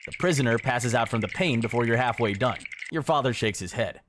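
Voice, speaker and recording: A man reading scripted second-person narration in a measured, matter-of-fact way. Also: mid-range voice, background noise.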